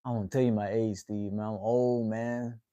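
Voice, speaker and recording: A man's voice: on the low side, little pitch movement, moderate pace, warm tone, clear, resonant tone, dry recording. A man in his twenties chatting casually with viewers on a livestream, in a laid-back way, tacking "man" onto the ends of his phrases. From United States. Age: 20s. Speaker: livestream host.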